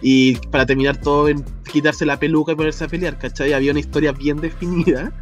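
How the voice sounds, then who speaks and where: A man's voice: on the high side, level tone, fast, highs cut off, faint music behind. Chatty Chilean man with slang. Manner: chatty, laughs often, uses slang. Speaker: podcast co-host. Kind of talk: casual chat.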